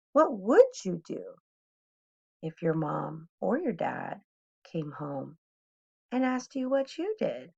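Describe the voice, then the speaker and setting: A woman reads to children like a teacher, very animated in pitch and expressive. She has some creak in her voice and speaks straight to her listeners. The sound is slightly dull and lo-fi. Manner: expressive, addresses children directly. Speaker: teacher reading aloud. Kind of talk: children's storybook read-aloud with questions to listeners.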